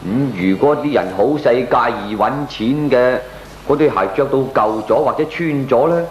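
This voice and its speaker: A man's voice: mid-range voice, normal speed, lo-fi sound, slight background noise, close to an everyday voice. A man playing a reasonable friend in a comedic radio drama, talking conversationally and explaining patiently with a Hong Kong Cantonese accent. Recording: roomy, echoing radio drama recording.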